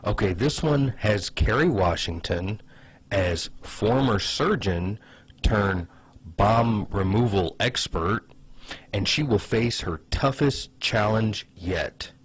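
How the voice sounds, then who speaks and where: A man hosting a casual comedic commentary video, pitching ideas in a wry, sarcastic, opinionated way. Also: animated, slow, husky.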